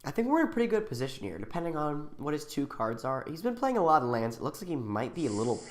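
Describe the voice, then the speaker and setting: A man's voice: a little high, big pitch swings, fast. A man talking casually through his own card game turn by turn, thinking aloud with ums and restarts. He is getting over a cold and sounds a little sniffly. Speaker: channel host.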